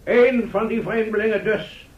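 An actor playing a pompous, flustered town mayor in a radio play, addressing an assembly in ceremonious, declamatory style. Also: male voice, sits high, fairly even intonation, measured pace, recorded from a distance. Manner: declamatory, ceremonious, stumbling into self-correction. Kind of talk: formal speech in a radio play. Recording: dull echoey radio recording, crowd noise.

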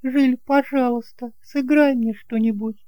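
Man voicing a playful young wife, pitched way up. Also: measured pace, clear, resonant tone, no room sound. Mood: teasing, coaxing. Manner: teasing, coaxing, lively. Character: young woman, playful, coquettish, newly married wife.

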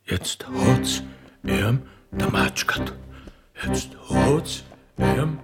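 A man's voice: mid-range voice, huge pitch swings, extremely slow, over loud music, heavily acted. A man voicing a gloomy chorus in a play, droning dully and drawn out with an Austrian accent. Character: gloomy hollow-voiced chorus of mourners.

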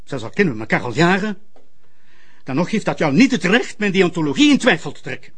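An actor playing a pompous, touchy public prosecutor in a TV crime drama, officious and formal. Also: male voice, a little high, big pitch swings, brisk, a bit bright, slightly rough, heavily acted. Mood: angry, blustering. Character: pompous, touchy male public prosecutor, authoritarian yet obsequious.

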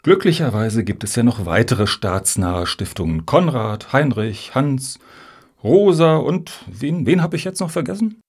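A man acting in a radio satire as a high-minded cultural activist with a wry, genteel manner. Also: sing-song, unhurried, husky. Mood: complacent.

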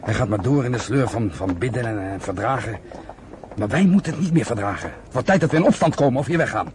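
Man playing rebellious young brother, rapid urgent delivery, persuasive, radio play, slight noise. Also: medium pitch, expressive, natural voice. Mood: defiant, impatient. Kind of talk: radio drama acting. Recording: slightly noisy old radio recording.

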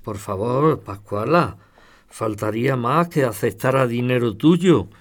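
A man playing a stubborn, soft-hearted older pensioner in a radio soap, grumbling and protesting, with an accent from Spain. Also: medium pitch, a little slow, lightly acted. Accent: Spanish from Spain.

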